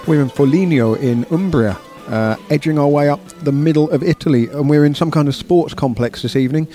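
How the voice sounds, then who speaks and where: A man's voice: on the high side, a little fast, warm tone, soft background music. Man hosting a sports podcast. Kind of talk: conversational race round-up. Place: bar of a sports complex.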